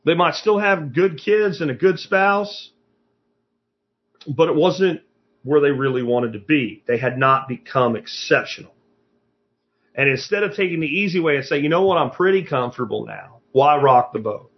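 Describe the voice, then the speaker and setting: A man's voice: fairly high pitch, lively intonation, moderate pace, lo-fi sound. A man in his fifties giving a blunt, profane motivational talk as a podcast host, lecturing his listeners. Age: 50s.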